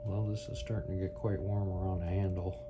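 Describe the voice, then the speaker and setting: A man's voice: sits low, fairly quick. A man giving a casual, unscripted product demonstration, rambling and thinking aloud as he goes. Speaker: product review video host. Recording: clear steady hum and background noise.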